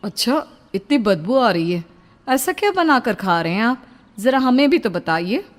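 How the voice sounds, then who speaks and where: A woman's voice: a little high, big pitch swings, normal speed. A woman playing a worried middle-aged village wife and mother in a horror audio story. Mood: suspicious. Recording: long reverb.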